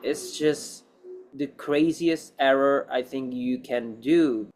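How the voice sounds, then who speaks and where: A man's voice: mid-range voice, slow pace, a little mellow. A man speaking non-native English in a casual interview as an actor, rambling and repeating himself as he gives emphatic advice.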